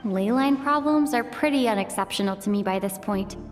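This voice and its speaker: A woman's voice: mid-range voice, moderate pace, soft background music, close to an everyday voice. An actress playing a cool-headed, skeptical young woman in a video game, musing nonchalantly, with long reverb on the voice. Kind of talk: anime-style video game cutscene acting.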